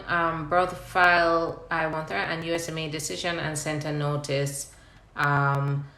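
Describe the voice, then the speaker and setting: A woman with a slightly low, bright and forceful voice, recorded in an echoey room. She answers questions as an attorney on a live stream, explaining things step by step in a conversational style. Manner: reads out viewer questions, then explains step by step. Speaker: immigration attorney hosting a live Q&A. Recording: roomy, echoing live-stream sound.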